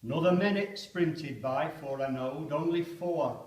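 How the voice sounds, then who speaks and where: A man's voice: on the high side, a little slow, natural voice. A man performing a dramatic poem as a stunned, fatalistic British soldier of the First World War waiting to attack. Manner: dramatic declaiming with sudden loud shouted countdown calls. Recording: echoey church, distant microphone.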